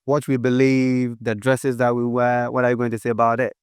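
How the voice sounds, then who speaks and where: A man's voice: mid-range voice, completely flat delivery, fairly quick, clear, resonant tone, dry recording, clean studio sound. A man interviewing a guest, asking friendly and sometimes teasing questions. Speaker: interviewer, host. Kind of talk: casual interview.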